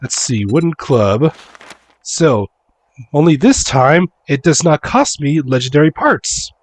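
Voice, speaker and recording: A man getting over a cold, a bit hoarse, giving casual gaming commentary, repeating words as he talks himself through each task. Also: a little high, lively intonation, takes it slowly. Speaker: streamer, game commentator.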